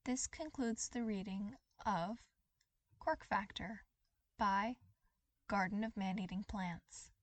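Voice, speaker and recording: A woman reading a story aloud as scripted, expressive dramatic narration. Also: mid-range voice, takes it slowly, dry recording. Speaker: podfic reader.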